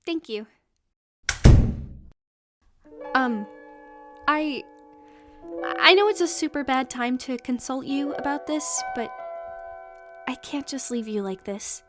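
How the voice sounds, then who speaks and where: A woman playing a gentle, earnest young friend who speaks softly and reassuringly in an audio drama. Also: sits high, lively intonation, unhurried, long pauses, over music. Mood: hesitant concern.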